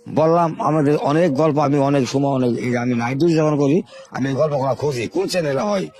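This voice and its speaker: An older man from Bangladesh, a guest telling his life story in a rambling, halting, repetitive way. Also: medium pitch, a little mellow. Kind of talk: informal spoken life story.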